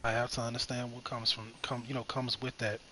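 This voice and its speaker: A man's voice: medium pitch, level tone, brisk, sharp tone. Young male streamer, rambling spiritual musings mid-game, filler-heavy, noisy recording. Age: 20s. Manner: rambling, repeats phrases, many "you know what I mean" fillers. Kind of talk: reflective spiritual talk while gaming. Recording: noticeable background noise.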